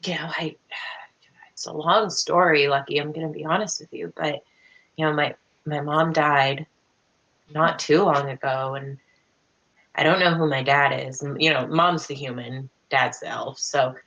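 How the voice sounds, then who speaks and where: A young woman improvising in character in an online tabletop game, halting and rambling, often repeating words. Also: low voice, steady tone, fairly bright tone, lo-fi sound. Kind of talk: improvised in-character roleplay over online call. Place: home room on a video call.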